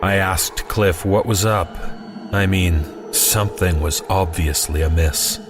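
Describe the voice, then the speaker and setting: A man's voice: low-pitched, slow. A man narrating a scripted first-person horror story in a conversational way with wry asides. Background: music.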